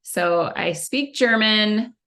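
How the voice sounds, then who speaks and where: A woman's voice: medium pitch, slow pace, sharp tone. A woman from Central California, a relationship coach guest, talking in a loose, rambling, conversational way over a video call. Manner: rambling, conversational, many fillers. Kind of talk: casual interview chat. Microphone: video call recording.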